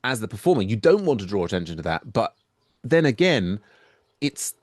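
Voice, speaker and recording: A man hosting a podcast in casual chat, halting and restarting often, wandering into playful tangents. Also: on the low side, very animated, dry recording, clean studio sound. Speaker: podcast host.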